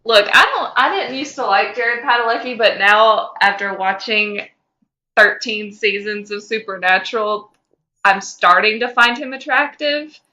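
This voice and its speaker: A woman's voice: on the high side, unhurried, pushed and bright. A woman co-hosting a podcast in casual fan chat, speaking in an emphatic, opinionated way. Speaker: podcast co-host.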